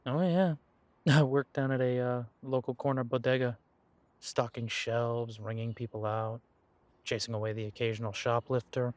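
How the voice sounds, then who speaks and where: An easygoing young werewolf man, as a man acts him out talks straight to the listener in an audio roleplay. His voice sits at a middling pitch, and his manner is relaxed and reminiscing, with frequent soft chuckles. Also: normal speed, no echo, natural voice. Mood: nostalgic.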